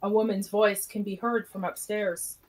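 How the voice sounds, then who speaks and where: A woman's voice: mid-range voice, level tone, normal speed, rough recording. A woman reading stage directions as narrator in a plain, matter-of-fact way. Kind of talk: scripted narration of a live script read.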